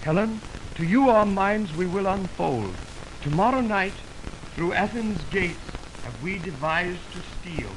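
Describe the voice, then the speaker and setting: Young male romantic lead, high-pitched, declamatory and ardent, Shakespeare on vintage radio, strong background hiss. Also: expressive, a little slow. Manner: declamatory, theatrical. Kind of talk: 1920s radio Shakespeare acting. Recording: noisy 1929 radio aircheck on film.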